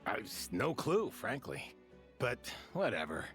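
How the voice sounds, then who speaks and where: Chill gruff game workman, gravelly mid voice, blunt, bit of music behind. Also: mid-range voice, wildly swooping pitch, measured pace. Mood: offhand, easygoing. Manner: offhand, blunt, casual. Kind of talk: video game voice acting.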